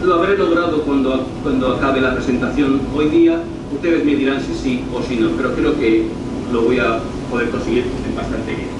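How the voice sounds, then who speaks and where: Man, northern Spanish accent, higher-pitched voice, informal lecturer, rephrases as he goes, very reverberant room. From northern Spain. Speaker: university lecturer. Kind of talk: informal academic presentation. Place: university lecture room, recorded from afar. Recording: very echoey room, distant mic, clear noise.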